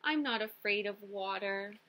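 A woman's voice: mid-range voice, measured pace, pushed and bright, rough recording. A young woman voicing a timid, polite young male turtle in a storybook, earnest and pleading in a childlike way. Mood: plain refusal.

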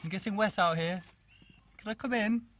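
A woman voicing a bewildered male apostle who has just escaped prison, talking in hushed, hurried bursts with stumbling restarts. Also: medium pitch, close to an everyday voice. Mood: hushed, anxious.

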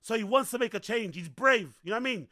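A young man streaming a football match, calling the play, swearing and reacting loudly with a voice that's going hoarse, on a headset in a small room. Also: sits high, fast talker. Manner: excitable, shouting, swears often. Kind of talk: live watch-along commentary.

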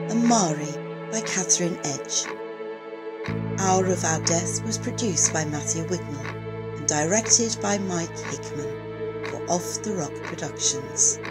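A woman announcer reading scripted closing credits for an audio drama. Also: on the low side. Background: loud music.

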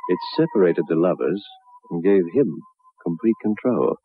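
A man narrating a 1960s radio horror drama as a grave, measured, ominous storyteller. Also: low voice, lively intonation, slightly rough, soft background music, heavily acted. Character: grave male narrator of a macabre tale. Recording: muffled narrow-band old radio recording.

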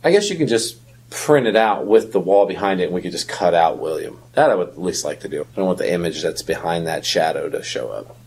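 A man with a husky voice in casual conversation as a podcast co-host. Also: low-pitched, lively intonation, fairly quick, a little hiss or hum. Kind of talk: casual chat.

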